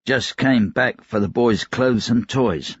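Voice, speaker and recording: A man's voice: medium pitch, takes it slowly, breathy edge, dry recording, muffled recording. A man voicing a working-class dock worker in an audiobook, casual and clipped. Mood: offhand. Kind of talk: audiobook character dialogue.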